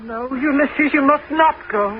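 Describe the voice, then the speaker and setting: A woman's voice: high-pitched, measured pace. An actress playing an anxious, protective Greek queen and mother in a 1970s radio drama. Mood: frantic, pleading. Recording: dull, faintly noisy old radio recording.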